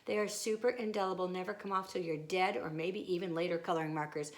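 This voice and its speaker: A woman's voice: fairly low pitch, steady tone, quick pace, pitched below the performer's own voice, natural voice. A woman voicing an eager, pleading little girl in a storybook, with emphatic delivery.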